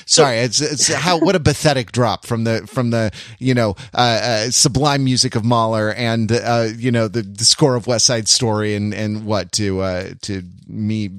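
A man's voice: on the low side, normal speed, hardly any pauses, no room sound, studio quality. A man chatting casually on a panel podcast, hesitant, jokey and self-deprecating. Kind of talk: casual chat.

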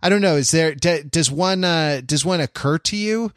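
A man hosting a casual panel podcast, speaking in a halting, tentative way as he searches for words. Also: fairly high pitch, a bit bright, no room sound, clean studio sound. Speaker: podcast host.

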